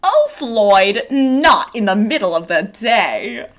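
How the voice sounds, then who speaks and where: A woman's voice: mid-range voice, very animated, slow. A woman voicing a playfully scolding 1910s policeman's sweetheart, coy and melodramatic, in a play reading. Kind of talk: play table read.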